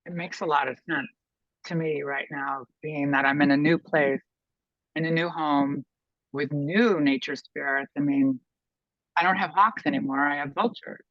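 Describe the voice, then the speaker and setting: A man's voice: on the high side, steady tone, normal speed, dry recording. A man taking part in a group call, speaking in a thoughtful, musing way with trailing phrases. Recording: dull dry video call audio.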